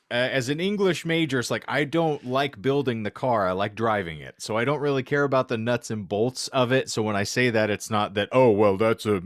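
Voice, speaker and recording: A man's voice: mid-range voice, no room sound, clean studio sound. A man chatting casually about books as a podcast co-host, rambling in florid, wordy sentences with a sardonic, profane streak. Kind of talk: casual book chat.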